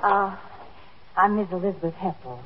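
Worried defensive daughter, husky insistent voice, dull 50s radio drama. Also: female voice, lowish voice, moderate pace. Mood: anxious, defensive. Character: anxious, defensive daughter of an old man. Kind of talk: 1950s radio drama acting. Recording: dull 1950s radio recording.

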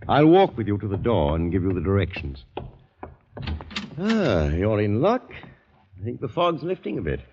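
Suave, sinister doctor, husky and swooping. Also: male voice, fairly low pitch, wildly swooping pitch, darkish tone, exaggerated voice. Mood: suavely polite. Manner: courteous, measured, insinuating storytelling. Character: suave, sinister doctor, older man, politely menacing. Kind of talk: 1940s radio acting. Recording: dull 1940s radio, long reverb.

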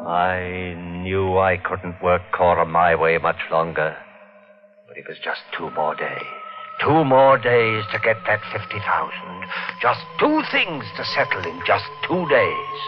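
Hard-boiled schemer, swooping delivery, old radio. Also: male voice, low-pitched, animated, takes it slowly, over music. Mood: brooding, hard-boiled. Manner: hard-boiled, calculating, terse. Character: scheming, menacing murderer, cool manipulator and narrator. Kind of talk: 1940s radio mystery acting with narration. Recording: muffled old-time radio recording.

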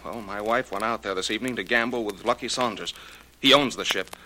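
An actor playing a desperate, pleading husband in a 1940s radio drama, urgent and stammering. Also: male voice, lowish voice, fairly quick, sharp tone. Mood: anxious. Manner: urgent, stammering, repeating himself. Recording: noisy 1940s radio recording.

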